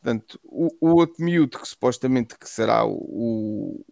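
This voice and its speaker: Portuguese guy talking football, gravelly, dry close mic, keeps restarting sentences. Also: mid-range voice, animated, moderate pace, darkish tone, no echo. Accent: European Portuguese. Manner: rambling, with many restarts and filler words. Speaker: football pundit. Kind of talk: casual football chat.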